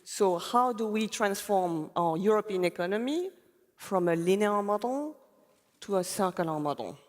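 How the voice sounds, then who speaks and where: A woman policy official speaking on a conference panel, explaining in a measured way. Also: medium pitch, lively intonation. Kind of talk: panel discussion on stage. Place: conference stage in a large hall. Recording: long hall reverb.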